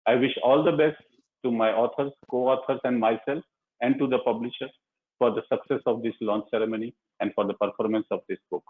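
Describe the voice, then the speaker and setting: A man delivering a prepared launch speech as a surgeon and author, speaking deliberately in short, emphatic phrases. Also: mid-range voice, steady tone. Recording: muffled, phone-like narrow-band online call audio.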